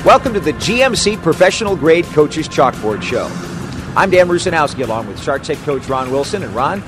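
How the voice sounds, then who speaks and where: A man hosting a sports radio show, interviewing a coach in an upbeat broadcaster delivery. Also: medium pitch.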